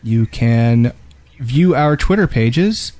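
A man's voice: medium pitch, animated, takes it slowly, a little mellow, no echo. A man co-hosting a podcast in casual chat, slipping in dry jokes. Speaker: podcast co-host.

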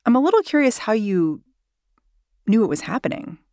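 A woman's voice: on the high side, big pitch swings, moderate pace, darkish tone, no echo, clean studio sound. A woman hosting an interview, asking curious, conversational questions. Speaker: interview host.

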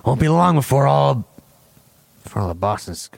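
A man's voice: mid-range voice, very animated, moderate pace, gravelly, no room sound. A man voice acting in a recording session, restarting lines and joking between takes. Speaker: voice actor.

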